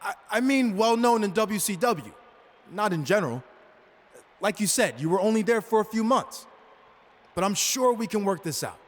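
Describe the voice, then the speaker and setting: An actor playing an earnest, defiant young pro wrestler giving a promo speech in a video game cutscene. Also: male voice, high voice, lively intonation, moderate pace, pauses often. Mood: apologetic. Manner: addresses a crowd in announcement style.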